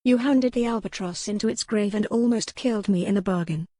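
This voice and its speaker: A woman's voice: medium pitch, fairly quick, mellow, dry recording, clean studio sound, natural voice. A woman voicing a proud, resolute young noblewoman in a romance audiobook, impassioned, with formal old-fashioned phrasing. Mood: indignant. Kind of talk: dramatized romance audiobook dialogue.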